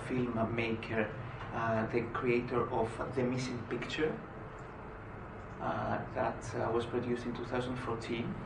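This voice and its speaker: Man from Greece, conference talk, halting speech with many uh's, distant mic, roomy hall, noisy background. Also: mid-range voice. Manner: frequent "uh" hesitations. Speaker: conference speaker.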